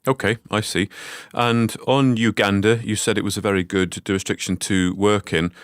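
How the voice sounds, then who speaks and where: A man's voice: lowish voice, fairly even intonation, moderate pace, clean tone, no echo, clean studio sound. A man interviewing an executive, asking brief questions with small restarts. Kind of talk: business interview.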